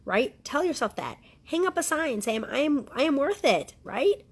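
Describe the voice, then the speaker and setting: A woman's voice: high voice, sing-song, normal speed. A middle-aged woman speaking informally and earnestly as a wellness coach, emphatic and repetitive, often checking in with her listeners. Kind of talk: informal motivational talk to viewers.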